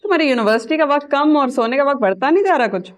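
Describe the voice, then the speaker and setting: An actress playing a sarcastic, sceptical middle-aged aunt in a family TV drama, with a Pakistani accent. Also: female voice, high-pitched, expressive, fast. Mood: exasperated. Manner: sarcastic, scolding.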